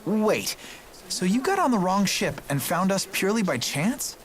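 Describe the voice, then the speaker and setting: A man voicing an earnest, gentle teenage boy in a video game, sincere and considerate. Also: high voice, very animated, normal speed, a little hiss or hum, poor audio.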